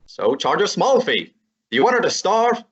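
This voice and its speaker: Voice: mid-range voice, very animated, moderate pace, husky, dull audio. A performer playing a brash, wisecracking young assistant. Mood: needling. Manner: brash, teasing, breezy. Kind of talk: old-time radio drama acting and narration.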